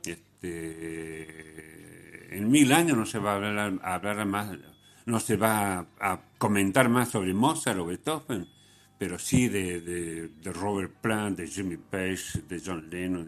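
A man with a low-pitched voice and an Argentine accent presents music on the radio. He comments casually, rambling along and circling back over his words. His delivery is halting, often checking in with short tag questions. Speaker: radio host.

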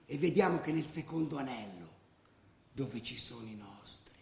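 An actor playing a menacing, scornful hooligan in a radio drama, gruff and terse. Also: male voice, mid-range voice, expressive, moderate pace. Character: menacing, scornful male hooligan enforcing the gang's rules. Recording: distant, echoey room with faint noise.

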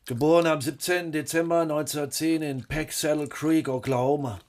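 An actor playing a proud, boastful young western musician and gambler in a radio drama, presenting himself deliberately. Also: male voice, on the high side, fairly even intonation, a little mellow, a little hiss or hum, close to an everyday voice. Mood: proud, earnest.

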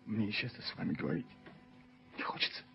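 An actor playing an earnest, evasive young fiancé in a radio play, halting and repeating himself, stubborn and easily flustered. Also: male voice, fairly low pitch, expressive, gravelly, music in the background. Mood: offended, flustered. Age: young adult. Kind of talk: Soviet radio play acting. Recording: dull, muffled 1970s radio recording.

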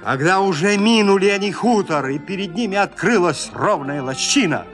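A man narrating classic literature as an audio drama, with measured, expressive storytelling. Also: high voice, faint music behind.

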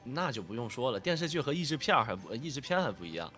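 A man's voice: medium pitch, very animated, fast, sharp tone, soft background music. A man with a nasal, stuffed-up voice, talking casually as a guest voice actor, modest and thinking aloud as he explains his work. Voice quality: nasal from a cold. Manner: modest, self-deprecating, thinks aloud with repetitions. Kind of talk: casual interview answers, explaining dubbing work.

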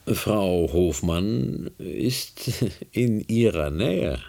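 An actor in a German science-fiction radio play, playing a cool, smug, condescending scientist with a clinical manner. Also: male voice, low-pitched, expressive, drawn-out words, vocal fry, dark tone, exaggerated voice. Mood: smug, composed.

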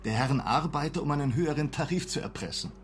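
An actor playing a polite, conciliatory gentleman traveller in a radio play, speaking mildly. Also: a little high, quick pace, soft background music, close to an everyday voice. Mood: polite, odd amusement. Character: smiling, conciliatory gentleman train passenger, oddly polite.

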